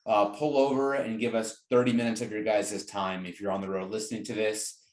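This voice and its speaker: A man's voice: lowish voice, little pitch movement, a little fast, a little mellow. A man hosting an interview podcast, speaking conversationally in an earnest, encouraging manner. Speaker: podcast host. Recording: roomy, echoing sound.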